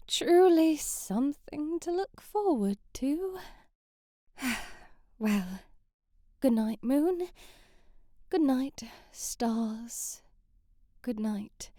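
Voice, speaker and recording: A woman's voice: a little high, animated, very slow, long pauses, mellow, no room sound, clean studio sound, full character voice. A woman playing a sheltered, romantic young princess in an ASMR audio drama, with flowery, theatrical storybook phrasing. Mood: wistful, lonely. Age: young adult. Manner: theatrical, flowery, prim storybook phrasing, sighing, often half-whispering.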